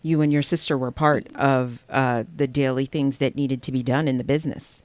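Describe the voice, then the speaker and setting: Low-voiced woman host, dry sound. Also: steady tone, normal speed, darkish tone, no room sound. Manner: brief backchannel affirmations, checks facts. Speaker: podcast host. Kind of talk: interview questions.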